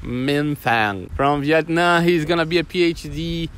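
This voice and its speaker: A man with a Brazilian Portuguese accent hosts a casual interview, speaking haltingly as he searches for words. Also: a little high, a bit bright, a little hiss or hum. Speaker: host.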